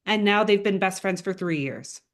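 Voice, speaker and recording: A woman's voice: mid-range voice, normal speed. A woman filmmaker answering interview questions in an informal, earnest way. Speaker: animator and filmmaker being interviewed.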